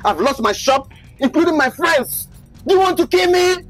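An actor playing a husband who has lost everything and bitterly casts off his wife, shouting and rejecting her. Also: very high voice, expressive, normal speed, faint music behind. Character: ruined angry husband casting off his wife. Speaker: husband in a drama.

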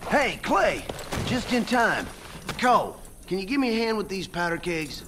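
An actor playing a folksy, rough-and-ready rebel outlaw in a video game. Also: male voice, sits high, sing-song, moderate pace, noisy background. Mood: brisk. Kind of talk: video game western acting.

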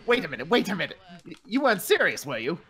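A man's voice: sits high, huge pitch swings, brisk, a bit bright, full character voice. A man voicing a haughty, godlike male villain who sneers at mortals, refined and scornful, in fan gameplay voice acting. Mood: incredulous.

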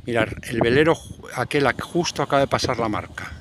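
A man's voice: medium pitch, expressive, a little fast. An older man from Spain in his sixties chatting to viewers in a casual vlog as he works on his boat and walks the beach. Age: 60s. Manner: chatty, talks to viewers while walking and working. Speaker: retired sailor vlogger. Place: outdoors on a boat, beach and town. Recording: noisy outdoor camera audio.

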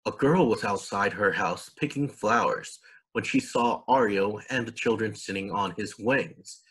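A man's voice: on the low side, unhurried, a bit bright, slightly rough, poor audio. A man reading a children's story aloud as a scripted narration. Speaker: storybook reader.

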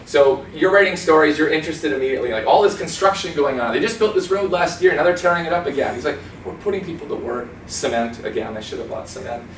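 Animated man in a roomy meeting room. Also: a little high, fast, hardly any pauses, slight background noise. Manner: chatty and animated, gestures a lot, mimics accents. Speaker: author giving a talk. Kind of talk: informal talk with slides. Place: small conference room. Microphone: far camera. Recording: roomy sound from a far camera.

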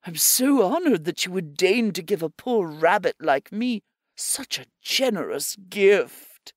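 A sly little male rabbit, put on by a woman reading aloud, meek and sweetly polite as he fawns over a gift. His voice rises and falls freely, drawn out and gentle, and the sound is close and dry. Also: on the low side, big pitch swings, measured pace, no room sound. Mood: ingratiating. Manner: polite, ingratiating, soft-spoken.

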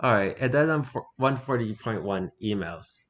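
A man's voice: fairly low pitch, lively intonation, moderate pace, lo-fi sound. A man reading a fictional horror document aloud, often stumbling over words and correcting himself. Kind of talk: scripted narration read aloud, halting.

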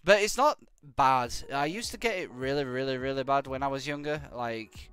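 A young man with a British accent and a croaky voice from hay fever, chatting to viewers in a rambling, jokey way while he plays a game live. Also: medium pitch, normal speed, a bit bright, no room sound. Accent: British English. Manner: rambling and jokey, hums nonsense syllables. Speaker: game streamer.

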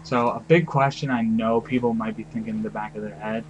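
A man's voice: on the low side, steady tone, a little fast, highs cut off, background noise. A young man who is losing his voice lays down rules for his game crew in rambling casual talk, full of fillers, pleading and the odd swear word. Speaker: crew leader giving rules.

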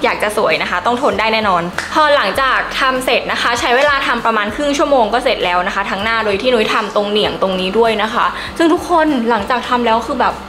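A woman's voice: fairly high pitch, quick pace, hardly any pauses, music in the background. A young woman gives a casual, enthusiastic review to camera in a chatty, emphatic way. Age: young adult. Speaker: beauty vlogger.

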